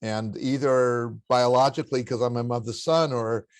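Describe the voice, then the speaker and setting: An older man with a baritone voice, a guest in an interview, telling family stories in a reflective, anecdotal way with occasional chuckles. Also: steady tone, moderate pace, no echo, studio quality. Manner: warm anecdotal storytelling with chuckles. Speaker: interview guest, spiritual teacher.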